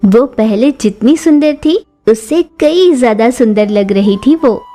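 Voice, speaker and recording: Casting: woman storyteller for kids' cartoons, fairly high voice with a warm, dark-edged softness. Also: animated, a little slow, soft background music. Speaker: narrator. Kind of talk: scripted children's story narration.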